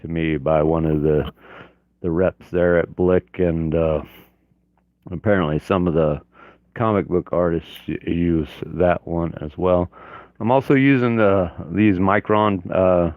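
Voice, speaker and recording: Slow, hoarse man with a very low voice. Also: steady tone, no echo, phone-quality sound. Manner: halting, many fillers. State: hoarse from a cold. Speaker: art channel host. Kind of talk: casual livestream chat. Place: home office. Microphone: external mic on camera.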